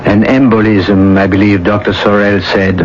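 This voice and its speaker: An actor playing a condescending, persuasive, callous schemer in a radio drama. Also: male voice, lowish voice, little pitch movement, moderate pace, lightly acted. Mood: casually callous. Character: smooth, scheming, callous male lover and shopkeeper. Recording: dull, noisy old radio broadcast.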